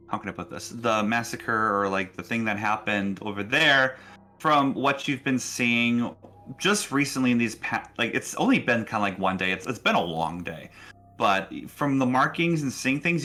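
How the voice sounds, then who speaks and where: A man narrating a tabletop game as game master, casual and halting, often rephrasing as he describes scenes. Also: on the low side, expressive, pushed and bright, soft background music.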